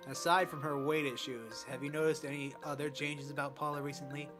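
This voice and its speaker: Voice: mid-range voice, fairly even intonation, brisk, music in the background, lightly acted. A performer voicing a polite, earnest male doctor asking questions in a fan dub. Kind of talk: amateur fan dub of a medical drama.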